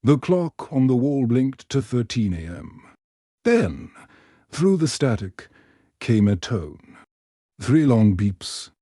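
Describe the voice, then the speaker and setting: A man narrating a first-person horror story in a measured, hushed, suspenseful way. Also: mid-range voice, sing-song, dry recording, studio quality.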